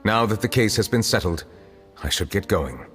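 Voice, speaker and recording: A man voicing a formal, solemn, ancient chief justice in a video game, speaking in a measured, restrained way. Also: lowish voice, animated, long reverb tail, over music, lightly acted. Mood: calm.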